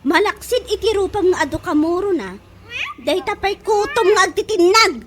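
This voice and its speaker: A scolding Ilocano mother in a comedy radio drama. The voice is piercingly high and swings widely as she mocks. Clear noise sits in the recording. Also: lively intonation, normal speed. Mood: mocking, disgusted. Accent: Ilocano, from the Philippines. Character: scolding, mocking mother with a sharp tongue.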